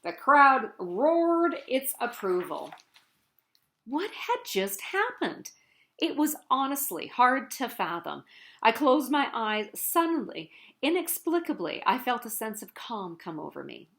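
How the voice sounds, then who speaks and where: Lady reading as a braggy cheese guy in a picture book, theatrical, highish voice, really up and down. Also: big pitch swings, slow pace, fairly bright tone, full character voice. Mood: dismayed, then calm, relieved. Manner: showy, dramatic, self-important. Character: boastful, theatrical male cheese telling his own tale. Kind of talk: children's picture-book read-aloud in first person.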